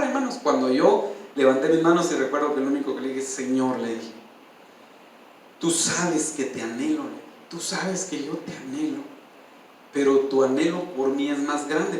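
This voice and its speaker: A man's voice: mid-range voice, slight background noise. Passionate male pastor in an echoey hall. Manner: emotional testimony, addresses listeners as brother. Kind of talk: sermon. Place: church. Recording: distant, echoey church hall.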